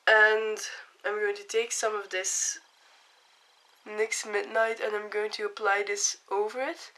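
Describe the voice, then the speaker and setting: A young woman with a cold and a hoarse voice, chatting casually as she explains each step of a makeup tutorial. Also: medium pitch, flat delivery, measured pace, bright, forceful tone, low-quality recording.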